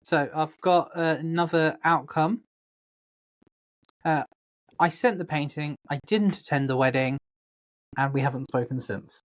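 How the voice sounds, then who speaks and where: Sweary podcast co-host. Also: male voice, on the high side, steady tone, unhurried, long pauses. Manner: laid-back, sweary, jokey. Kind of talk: casual chat, reading posts aloud.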